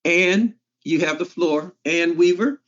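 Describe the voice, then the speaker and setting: A man with a somewhat high voice moderates an online class over a dull, lo-fi line. He speaks slowly and repeats his prompts in a measured way. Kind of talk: calling on participants. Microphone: video call. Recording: dull lo-fi call audio.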